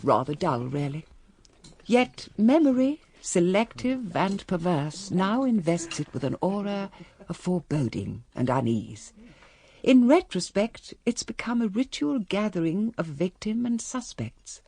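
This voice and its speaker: A woman's voice: medium pitch, big pitch swings, unhurried, a little mellow. An actress playing a genteel, reflective older English lady of a declining manor, narrating a radio drama in a refined, wry manner. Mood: ominous, reflective. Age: middle-aged or older.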